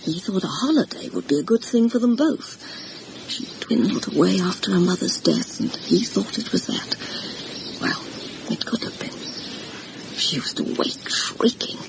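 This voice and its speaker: An actress playing a haunted, plain-spoken older housekeeper in a vintage radio horror drama, halting and brooding. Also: female voice, medium pitch, animated, gravelly. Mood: brooding, sorrowful. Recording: noisy old radio drama recording.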